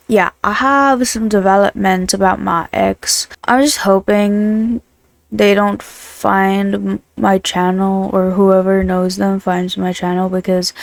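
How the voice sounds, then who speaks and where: A woman's voice: medium pitch, darkish tone, dry recording. A young woman of Filipino family, a student and vlogger, rambling through a casual diary vlog and swearing freely. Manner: rambling, chatty, swears often.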